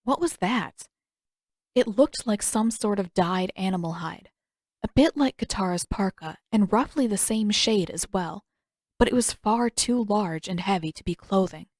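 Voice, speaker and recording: A woman reading scripted fiction aloud as an expressive audiobook narrator. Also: mid-range voice, a little slow, no echo, clean studio sound.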